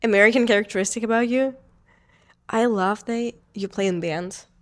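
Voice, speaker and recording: A woman's voice: mid-range voice, moderate pace. A young woman from Ukraine with a Ukrainian accent, chatting casually in English with her fiancé for her vlog, full of "like" fillers. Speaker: vlogger. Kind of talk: casual couple chat.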